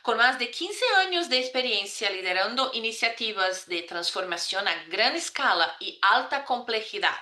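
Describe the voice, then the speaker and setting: Bright-voiced woman hosting, echoey room. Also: fairly high pitch, expressive, moderate pace, hardly any pauses. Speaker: podcast host. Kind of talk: scripted intro, then interviewing.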